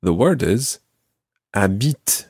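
Patient man teaching, lively voice. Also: fairly low pitch, slow, dry recording, clean studio sound. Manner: patient, clear explaining. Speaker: language teacher and podcast host. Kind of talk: podcast language lesson.

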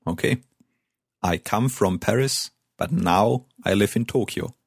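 A man's voice: on the low side, unhurried, creaky, husky, no room sound, studio quality. A man co-hosting a language lesson, giving brief corrections and encouragement. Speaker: German language co-host.

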